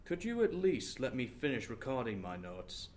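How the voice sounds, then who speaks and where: A man's voice: mid-range voice, sing-song, normal speed, a little mellow, slight background noise, lightly acted. A man playing an earnest, exasperated investigator, a detective's indignant companion, in an audio drama. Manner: indignant, questioning.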